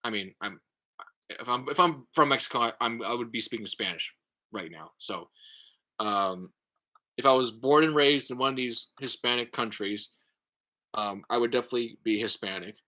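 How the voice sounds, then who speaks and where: A man's voice: medium pitch, pushed and bright. A man talking casually in a response vlog, thinking aloud with many fillers and restarts. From United States. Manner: halting, lots of fillers and restarts. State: tired. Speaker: YouTube creator.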